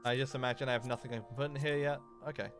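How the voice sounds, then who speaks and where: A man's voice: medium pitch, steady tone, racing. Rambling young man, game music behind. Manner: rambling, loose, with many fillers and restarts. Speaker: streamer. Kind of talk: casual livestream chat while gaming.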